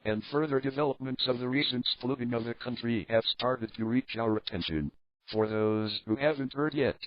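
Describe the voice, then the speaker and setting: A man playing a sober news anchor, reading a scripted crisis report in a formal, measured way. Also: mid-range voice, dry recording, close to an everyday voice. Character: sober adult male news anchor of alternate-history crisis. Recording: dull lo-fi narrow band.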